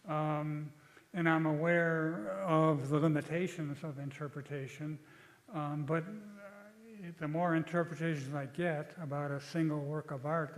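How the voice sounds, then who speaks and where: An older man giving a lecture and taking questions, speaking in a thoughtful, halting way with frequent restarts. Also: on the high side, big-room sound, rough recording.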